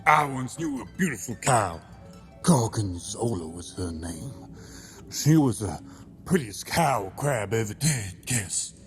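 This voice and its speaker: A low-pitched crab detective, acted by a man, pompous and sentimental. He tells his log with grand melodrama for a comic audio drama, over music. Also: animated, takes it slowly. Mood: indignant, sentimental. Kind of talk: comedic audio drama narration and dialogue. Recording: music behind the voice.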